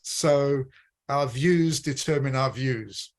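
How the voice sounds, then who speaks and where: Unhurried man from Britain's Midlands. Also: medium pitch. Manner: measured, explanatory, restarts phrases. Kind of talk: reflective conversation on personal development.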